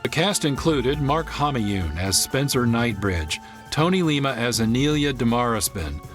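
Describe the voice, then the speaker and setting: A man announcing scripted radio credits in a measured, clear way. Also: mid-range voice, sing-song, faint music behind.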